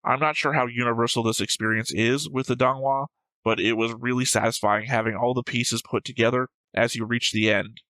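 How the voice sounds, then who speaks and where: A man's voice: mid-range voice, fairly even intonation, brisk, bright, forceful tone, no room sound, rough recording. A man co-hosting a reaction show, discussing and reading comments in a casual, analytical way with some argument and casual swearing. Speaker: co-host of anime reaction show.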